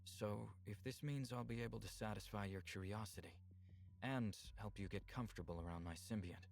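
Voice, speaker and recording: A man voicing a calm, caring, patient man in a visual novel, speaking in a measured and reassuring way. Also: on the low side, creaky. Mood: tender, reassuring.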